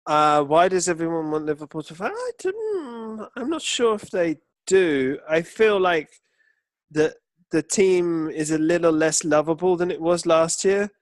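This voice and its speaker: Male podcast co-host, blokey and sweary, higher-pitched, casual football chat, close dry sound. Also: expressive, unhurried, dry recording.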